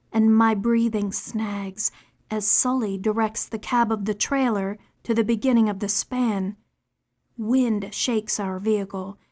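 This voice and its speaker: A woman narrating audiobook excerpts in a measured, expressive storytelling manner. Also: mid-range voice. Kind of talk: audiobook narration demo reel.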